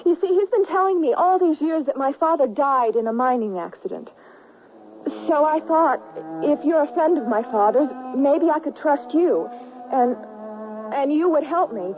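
An actress playing an earnest, pleading young daughter in a western radio drama, with a soft-hearted, imploring manner. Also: female voice, high voice, expressive, normal speed, music in the background. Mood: hurt, pleading. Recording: muffled, narrow-band 1970s radio broadcast.

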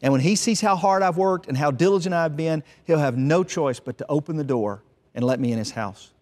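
Parable role: remorseful, stubborn younger brother done by a man, emphatic, self-reproaching, highish pitch, long hall echo. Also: a little fast, a little mellow, natural voice. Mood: determined, remorseful. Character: guilt-ridden, stubborn, toiling younger brother.

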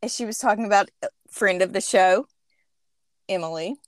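A woman's voice: medium pitch, moderate pace, no room sound, clean studio sound. A woman in her thirties hosting a podcast, telling a rambling, chatty story. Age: 30s. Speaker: podcast host. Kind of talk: casual chat.

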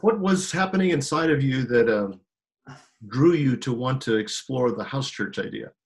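A man's voice: mid-range voice, expressive, moderate pace. A man hosting an interview over a video call, talking with frequent ums and uhs. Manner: hesitant, many ums and uhs. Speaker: host.

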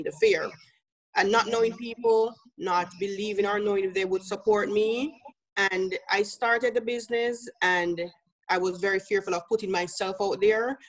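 A woman's voice: medium pitch, poor audio. Jamaican lady business coach, earnest, laughs easy, lots of ums. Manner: earnest, laughs easily, many fillers. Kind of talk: interview guest.

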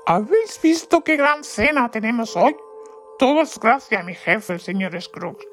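A woman's voice: fairly high pitch, expressive, measured pace, soft background music. A woman playing a kindly, grateful poor male clerk in an amateur audio drama. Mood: grateful, cheerful.

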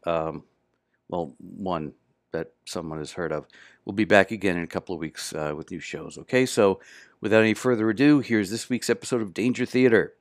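Deep-voiced man, casual podcast host. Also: dry recording, studio quality.

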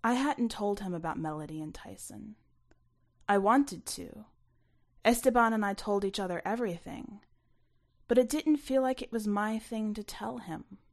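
A woman reading scripted first-person fiction aloud, expressively, with some hushed asides. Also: mid-range voice, moderate pace. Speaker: story reader.

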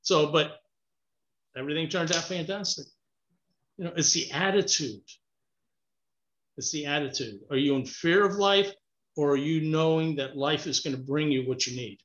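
A man from Cuba tells his stories as a guest author, animated and talking with his hands. His voice sits a little high and rings sharp and forceful. It comes over a remote call that sounds roomy and muffled. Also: moderate pace, pauses often. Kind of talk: casual storytelling interview. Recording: echoey, muffled call audio.

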